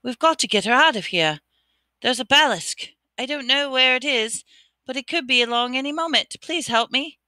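A reader doing a desperate young wizard whose pleading voice jumps high above the narration and pushes forward with urgent force. The tone stays bright and crisp, and the recording is dry and close. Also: animated, normal speed, dry recording, heavily acted. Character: frantic, desperate schoolboy wizard.

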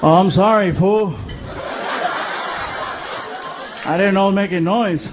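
A man's voice: sits high, normal speed, crowd noise, pitched below the performer's own voice, close to an everyday voice. Comedian as a hot-headed, slangy guy. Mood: cowed. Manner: blunt, slangy. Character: hot-headed, gullible male hotel guest, easily angered. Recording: noisy live comedy show audio.

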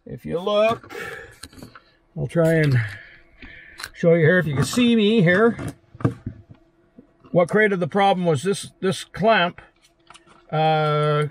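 A man's voice: a little high, slight background noise. A Canadian man, likely in his sixties, explaining a repair in a cramped van with plenty of hesitant fillers and dry jokes. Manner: halting, many uh fillers, dry joking. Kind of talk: casual hands-on explaining. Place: small van interior, lying under a cabinet.

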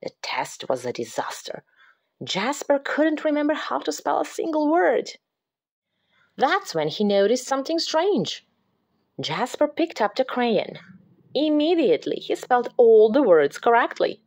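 A woman reading a picture book aloud to children, dramatic and expressive, dropping into hushed whispers at the creepy parts. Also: high-pitched, unhurried. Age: adult. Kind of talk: expressive read-aloud storytelling.

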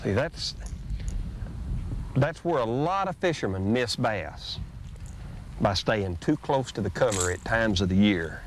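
A middle-aged man, folksy and drawling, hosting a fishing show and teaching while he fishes from a bass boat on a lake. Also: a little slow, long pauses. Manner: folksy, drawling, excited when a fish bites. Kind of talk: casual outdoor instruction while fishing. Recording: noisy outdoor boat audio, 1990s tape.

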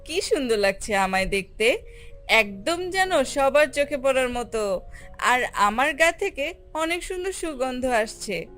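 A woman voicing a vain, haughty, quick-tempered female ripe mango in a children's cartoon, boasting and scolding. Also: sits high, big pitch swings, fairly bright tone, full character voice. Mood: self-admiring. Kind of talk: animated children's fairy tale acting. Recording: music under the voice.

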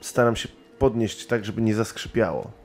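A man's voice: lowish voice, normal speed, creaky, faint music behind. A man playing a tabletop role-playing game, describing his character's actions in a hesitant, nervous way. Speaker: player.

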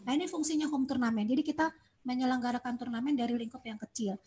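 A woman giving an online presentation for a chess school over a video call, explaining programmes with frequent pauses and fillers. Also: sits high, steady tone, warm tone, a little hiss or hum. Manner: hesitant, many fillers, explanatory.

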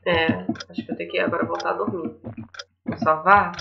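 A woman's voice: lowish voice, flat delivery, brisk, gravelly. A young woman with a Brazilian accent, reading a visual novel aloud and adding casual asides as a gaming host. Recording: dull lo-fi microphone, faint music. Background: faint game music.

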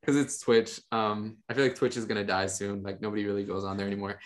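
A young man on a video call, a podcast guest talking about his ministry in a relaxed, rambling way with many fillers. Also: lowish voice, quick pace. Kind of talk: casual interview talk. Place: home room with monitors. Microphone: studio mic on a video call.

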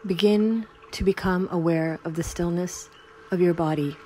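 A woman guiding a sleep meditation in a slow, soothing, unhurried way with long pauses. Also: fairly low pitch, fairly even intonation, dark tone, a little hiss or hum. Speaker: meditation guide. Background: Tibetan singing bowl tones.

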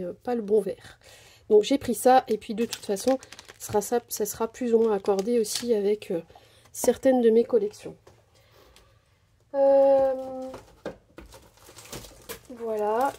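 A woman's voice: on the high side, warm tone, a little hiss or hum. A woman casually narrating a craft supply haul at her table, chatty and hesitant with many fillers. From Portuguese descent. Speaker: craft vlogger. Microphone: camera over table, hands only.

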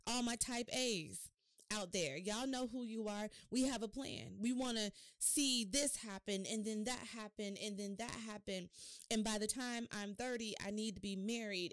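A woman's voice: a little high, no echo. A middle-aged woman hosting a devotional podcast, preaching expressively and putting rhetorical questions to her listeners. She gestures with her hands as she talks, recorded close in a home studio. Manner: animated hand gestures while talking. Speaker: podcast host. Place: home office studio, indoors.

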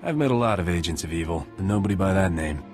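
An actor playing a cocky, flirtatious starship captain in a video game, wry and self-assured with a slightly husky voice. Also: male voice, sits low, lively intonation, quick pace, darkish tone, faint music behind. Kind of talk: video game dialogue acting.

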